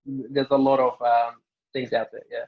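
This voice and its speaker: Man, Norwegian native, gravelly voice, relaxed interview guest, fillers and restarts. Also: medium pitch, unhurried, phone-quality sound. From Norway. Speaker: interview guest, family doctor. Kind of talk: casual interview answers.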